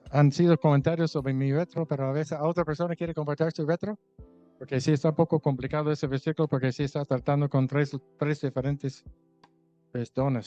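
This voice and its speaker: A man's voice: fairly high pitch, a little mellow, muffled recording. A man leading a Bible translation workshop, explaining patiently, restarting words and asking the group for feedback. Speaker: translation consultant leading a session.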